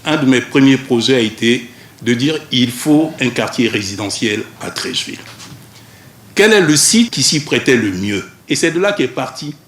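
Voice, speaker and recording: A man's voice: mid-range voice, animated, normal speed. A man making a statement for a television news report. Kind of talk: public statement. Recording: echoey, faint background noise.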